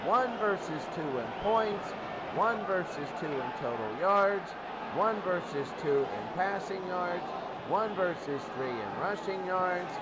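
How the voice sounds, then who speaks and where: A man's voice: sits high, lively intonation, slow. An American man doing play-by-play football commentary as the announcer. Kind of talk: sports commentary. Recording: very noisy amateur broadcast. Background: loud ambient noise.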